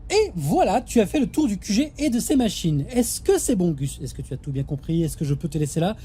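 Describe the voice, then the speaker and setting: Female space captain, soft-toned yet authoritative, no time for nonsense, audio drama. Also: lowish voice, sing-song, a little fast, a little hiss or hum. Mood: instructive, patient. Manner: authoritative, matter-of-fact, dismissive of nonsense. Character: brisk, busy female space station captain. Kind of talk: French audio drama acting.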